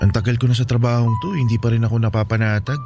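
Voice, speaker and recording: A man's voice: mid-range voice, flat delivery, very fast, natural voice. An actor playing a homesick Filipino seaman husband in a radio drama, anxious, hesitant and pleading with his wife. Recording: music bed under the voice.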